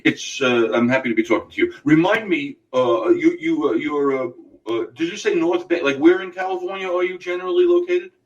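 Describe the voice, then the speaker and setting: A man with a New York accent hosting a casual radio interview, halting with many fillers and restarts. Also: on the high side, distant mic, muffled recording. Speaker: radio host.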